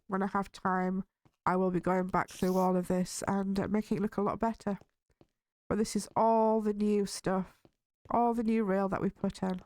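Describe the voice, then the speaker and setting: Chatty woman hosting a game playthrough, slightly hoarse, mid-pitched, dry close recording. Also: darkish tone, dry recording. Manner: chatty and cheerful, thinking aloud. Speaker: gaming video host. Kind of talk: casual let's-play commentary.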